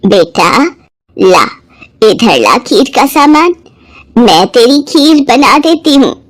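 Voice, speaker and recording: A woman voicing a kind old village woman in a cartoon devotional story, motherly, coaxing and unhurried. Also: a little high, big pitch swings, full character voice. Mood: tender.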